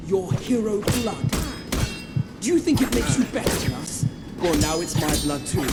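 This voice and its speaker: An actor playing a megalomaniac, vengeful usurper king in a video game, with a theatrical, accusing delivery. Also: high voice. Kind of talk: dramatic video game villain monologue. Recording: loud music under the voice. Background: loud game score.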